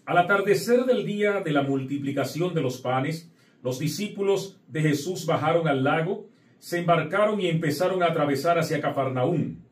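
Echoey priest, fairly high male voice. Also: expressive, moderate pace. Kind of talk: liturgical prayer, scripture reading and address to the faithful. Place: indoor chapel set at an altar. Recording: echoey room, distant microphone.

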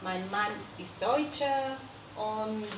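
A woman from Cameroon, speaking calmly and deliberately on a panel. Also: mid-range voice. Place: seminar room with a panel. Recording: distant mic in an echoey noisy room.

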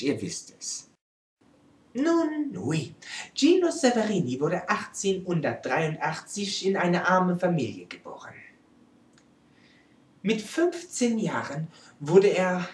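A woman playing a vain, theatrical male French artist with a French accent, presenting a comic art history lecture in broken German. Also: on the low side. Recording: roomy, echoing amateur recording.

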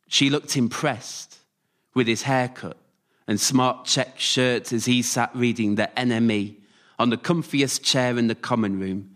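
A man performing a scripted autobiographical story live, delivered in measured short phrases. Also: medium pitch. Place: radio theatre stage, before a live audience.

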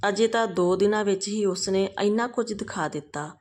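A woman's voice: medium pitch, normal speed, darkish tone. A woman reading a scripted family moral story as a storyteller.

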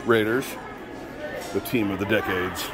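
A man's voice: lowish voice, fairly even intonation, a little slow, a crowd in the background. A middle-aged man giving casual, slangy vlog commentary, recorded on a handheld camera in a large store. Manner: informal slangy exclamations. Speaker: vlogger. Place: large busy retail store. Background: music.

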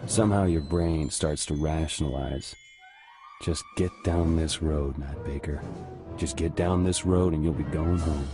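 An actor playing a weary, haunted young American soldier, narrating a war game in a brooding, measured way. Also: male voice, rumbling low, music in the background.

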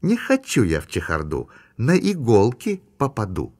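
A man's voice: fairly low pitch, wildly swooping pitch, moderate pace, a little mellow, heavily acted. A man doing a clever little mouse. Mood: playful. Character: small quick clever boy mouse.

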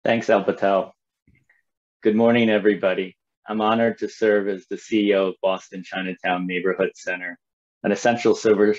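Low-voiced man on a dull video call. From southern New Jersey. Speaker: nonprofit CEO, panelist. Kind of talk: prepared panel remarks. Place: home room on a video call.

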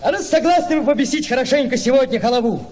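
An actor playing a bold, mischievous young Cossack, exclamatory and teasing in theatrical radio drama style. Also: male voice, extremely high pitch, fast, crowd noise. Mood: rousing, mischievous. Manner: exclamatory, teasing, hot-headed.

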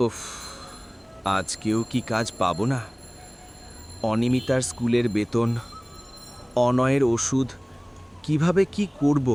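A man voicing a poor, worried, gentle father who pulls a handcart in a cartoon, speaking earnestly and wearily. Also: medium pitch, takes it slowly, pauses often, soft background music, lightly acted. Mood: weary worry. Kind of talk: dramatised cartoon dialogue.

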